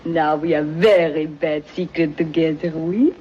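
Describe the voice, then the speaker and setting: A coquettish French maid. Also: female voice, low voice, steady tone, unhurried, slightly rough. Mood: playful, coaxing. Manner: coquettish, broken English sprinkled with French. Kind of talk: 1940s film acting. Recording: muffled old film soundtrack.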